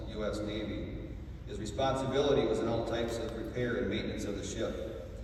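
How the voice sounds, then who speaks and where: A man delivering a formal, prepared tribute speech in a measured, solemn way. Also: fairly low pitch. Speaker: ceremony speaker. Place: large indoor hall at a museum. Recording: roomy echoing hall, distant mic, faint noise.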